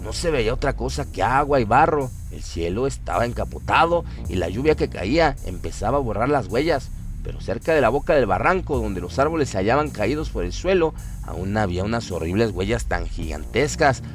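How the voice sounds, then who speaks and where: A man's voice: mid-range voice, lively intonation, a little fast, soft background music. A man narrating a scripted horror radio drama in a theatrical, literary storytelling manner.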